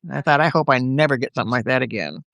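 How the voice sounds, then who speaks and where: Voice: medium pitch, lively intonation, very fast, gravelly, fairly bright tone, dry recording, studio quality. A chatty livestream host at home in the countryside, recovering from a bad cold, talking casually and laughing often. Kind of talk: casual live-video chat.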